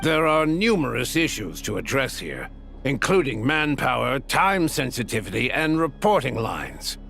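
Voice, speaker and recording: A man playing a stately older lord in a video game, speaking formally and deliberately with measured authority. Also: mid-range voice, big pitch swings, creaky, fairly bright tone, soft background music. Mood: measured, authoritative.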